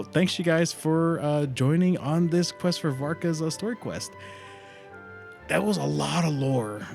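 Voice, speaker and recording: A man doing casual livestream commentary on a game, informal and sweary, thinking out loud as he plays. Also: medium pitch. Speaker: live game streamer.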